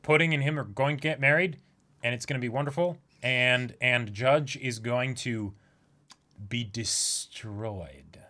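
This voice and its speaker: A man's voice: mid-range voice, unhurried, pushed and bright. Man co-hosting a casual fan livestream. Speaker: livestream co-host.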